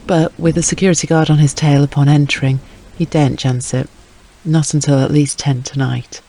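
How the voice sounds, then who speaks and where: A man's voice: mid-range voice, darkish tone, slight background noise, low-quality recording. A man reading scripted dramatic narration as an audiobook narrator.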